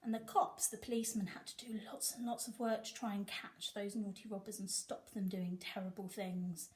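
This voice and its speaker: A woman's voice: mid-range voice, flat delivery, moderate pace, a little mellow. A woman reading a picture book expressively to children, emphatic and sing-song on rhymes.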